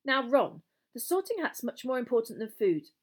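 Gentleman ghost, storybook, woman performing, fussy and disapproving, pitched up, prim delivery. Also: sing-song, normal speed. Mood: reproving. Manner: prim, indignant.

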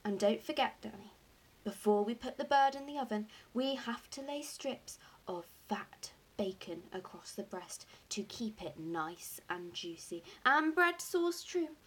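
A woman voicing a jubilant, boastful father in a storybook, excited and grandly emphatic as key words are drawn out. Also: medium pitch, a little slow, breathy edge, low-quality recording. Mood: grand, enthusiastic. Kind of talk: acted audiobook dialogue.

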